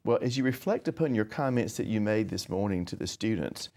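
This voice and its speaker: A man with an American accent hosting a conversational interview, speaking in a deliberate, slightly formal, phrase-by-phrase manner. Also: on the low side, animated, a little mellow. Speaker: interview host.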